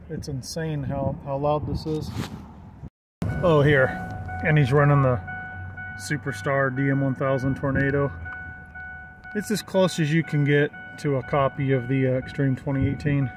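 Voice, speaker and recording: A man giving a casual, jokey walk-around commentary as an amateur video host, speaking informally with the odd swear word. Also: fairly high pitch. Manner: informal, jokey, mildly profane. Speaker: amateur video host touring CB radio truck setups. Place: parking lot, in and around a pickup truck. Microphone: handheld phone or camera. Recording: noisy handheld outdoor and vehicle recording.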